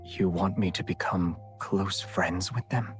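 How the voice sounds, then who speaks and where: A man's voice: low voice, steady tone, a little slow. A man playing a cold, aloof immortal in a fantasy romance game, speaking tersely and with detachment. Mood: cool, detached. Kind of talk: video game voice acting. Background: music.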